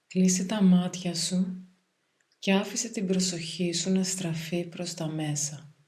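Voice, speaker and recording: Woman, slightly low voice, guided meditation, calm, soothing, measured pauses. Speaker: yoga nidra meditation guide.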